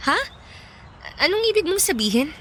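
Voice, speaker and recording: Bright, friendly young Filipina singer. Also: female voice, high voice, sing-song, normal speed, slight background noise. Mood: friendly, curious. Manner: lighthearted, gently chiding. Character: friendly, trusting young female singer in a band. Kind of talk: Filipino radio drama acting.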